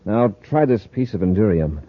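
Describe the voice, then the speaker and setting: An actor playing a steady, authoritative space patrol commander with a businesslike manner in an old-time radio drama. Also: male voice, fairly low pitch, moderate pace, background noise, natural voice. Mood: brisk, authoritative. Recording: muffled old radio recording.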